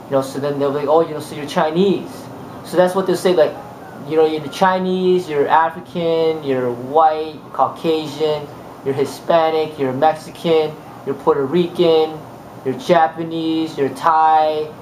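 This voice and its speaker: A man's voice: a little high, steady tone, measured pace, a little mellow, roomy sound, slight background noise, rough recording. A man from the United States, a martial arts instructor talking casually in a rambling way, with many fillers and restarts. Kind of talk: casual monologue.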